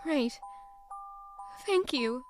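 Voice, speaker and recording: A woman's voice: high voice, expressive, extremely slow, loud music behind the voice, heavily acted. An actress playing a guarded young woman, anxious and grieving, in an audio drama. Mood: subdued. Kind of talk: audio drama acting and first-person narration.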